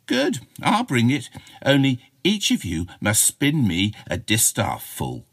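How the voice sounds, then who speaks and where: A man acts out a lazy, fearless village girl in a storybook tale. The girl brags brazenly, with a raised, swooping pitch and a slightly husky tone. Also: huge pitch swings, takes it slowly, no room sound, studio quality, full character voice. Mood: boastful. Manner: bragging, brazen.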